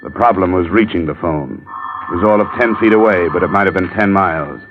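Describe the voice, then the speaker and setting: A man performs a tough American investigator from an old radio detective show, wry and world-weary. His voice sits fairly low and stays clipped and deadpan. The recording is muffled and vintage, with music behind it. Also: brisk, natural voice. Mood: wry, narrating. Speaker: lead actor and narrator. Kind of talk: 1950s radio detective drama acting.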